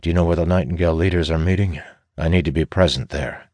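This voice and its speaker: Man as a stern veteran ranger, extremely deep. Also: steady tone, fast talker, darkish tone, no room sound, close to an everyday voice. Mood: terse, confident. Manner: firm, terse. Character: stern, seasoned male ranger veteran, commanding. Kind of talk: audiobook fantasy character acting.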